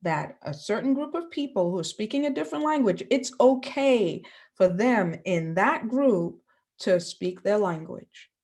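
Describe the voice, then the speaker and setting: A woman teacher from the Bahamas giving a lesson to children, asking the class questions and pausing for answers. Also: medium pitch, lively intonation, a little mellow.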